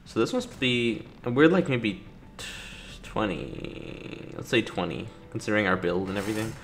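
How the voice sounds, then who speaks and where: A man's voice: lowish voice, big pitch swings, moderate pace, creaky, fairly bright tone. A man giving casual, joking playthrough commentary, reacting to characters and reading captions aloud as host. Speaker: gaming video host. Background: video game music and sound.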